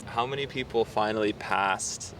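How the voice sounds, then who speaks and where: Low-voiced American man, far off mic. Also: normal speed. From United States. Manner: relaxed, curious questioning with frequent "like". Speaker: host, English teacher. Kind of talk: casual interview chat. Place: outdoors by a street. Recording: distant mic, loud traffic noise.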